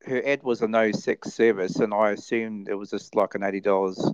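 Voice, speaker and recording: A man chatting as a guest in a halting, repetitive, rambling way, over dull lo-fi audio. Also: on the low side, steady tone, clean tone, no echo. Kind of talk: casual chat, anecdote telling.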